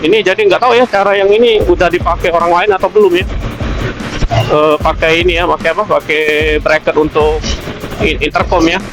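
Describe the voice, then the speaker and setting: High-voiced man vlogging casually. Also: fairly even intonation, music in the background, low-quality recording. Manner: halting, repetitive, self-questioning. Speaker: motovlogger. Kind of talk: casual vlog chat.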